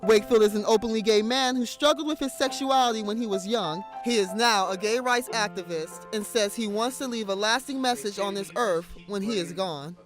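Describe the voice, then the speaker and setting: A man's voice: high voice, fairly quick, few pauses, soft background music. A man reading scripted news narration as a reporter.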